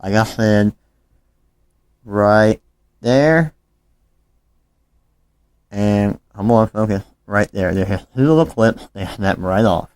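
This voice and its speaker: Low-voiced man giving a casual repair how-to. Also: takes it slowly, long pauses, a little mellow, clean tone, no room sound. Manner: informal, apologetic, talking while working with his hands. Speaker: phone repair tutorial host. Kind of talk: casual hands-on how-to narration.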